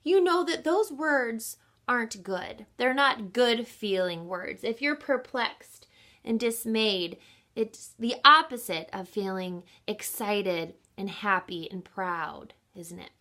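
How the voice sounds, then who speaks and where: A woman reading a children's picture book aloud as a teacher, expressively and with deliberate emphasis, speaking in an encouraging way as if to young listeners. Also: a little high, bright, forceful tone. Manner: encouraging, addresses children with questions and explanations.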